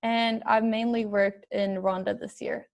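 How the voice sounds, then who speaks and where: A young woman from Sweden leads a video call panel, her voice carrying a gravelly fry. She speaks haltingly and feels her way through introductions. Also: medium pitch, little pitch movement. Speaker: panel moderator, medical student.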